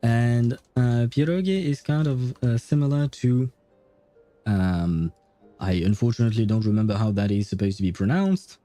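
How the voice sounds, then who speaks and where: A man's voice: mid-range voice, moderate pace, mellow, soft background music. A man livestreaming a game, chatting casually in a hesitant, rambling way with chuckles and casual swearing.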